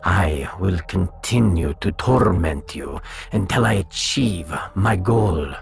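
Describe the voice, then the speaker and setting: A man voicing a menacing, pompous talking cat, a gravelly audiodrama villain bent on domination. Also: deep voice, expressive, slow, soft background music, exaggerated voice. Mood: grandiose menace.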